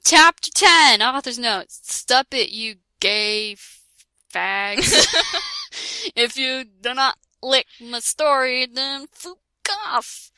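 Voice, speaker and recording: A woman's voice: high-pitched, very slow, sharp tone, clean tone, no echo. A young woman reading a fan fiction aloud for laughs, breaking off into jokes and mock-dramatic voices. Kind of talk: comedic dramatic reading with chat.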